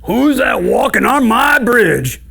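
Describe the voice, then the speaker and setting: A man voicing a mean, crazy troll in a told folk tale, loud and menacing. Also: very high-pitched, very animated, vocal fry, husky, voice pushed up, full character voice. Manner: menacing, loud, drawn-out challenges. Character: evil, crazy, mean troll bellowing threats from under a bridge. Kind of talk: storytelling.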